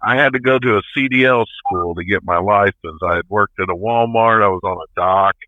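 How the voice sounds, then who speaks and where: Low-voiced folksy man on a tinny line. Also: brisk, no room sound. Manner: plain-spoken, folksy. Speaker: truck driver, interview guest. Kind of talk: casual storytelling.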